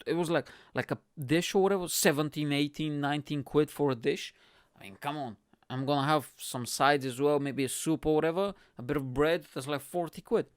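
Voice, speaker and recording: A man in his early thirties from Bulgaria, hosting his podcast with a lively, swearing, casual storytelling manner, recorded close on a stand mic in a small room at home. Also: on the high side, brisk, clear, resonant tone, no echo. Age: 30s. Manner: animated, swearing, laughing, acts out quotes. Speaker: podcast host. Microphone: studio mic on stand close to mouth.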